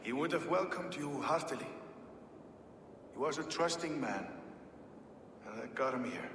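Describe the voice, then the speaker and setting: An actor playing a wary, sardonic medieval town reeve in a video game, with a gruff, curt, cutting manner. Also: male voice, a little high, measured pace, fairly bright tone, a little hiss or hum. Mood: sardonic, grim. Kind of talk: video game cutscene acting. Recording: long hall reverb, faint ambience.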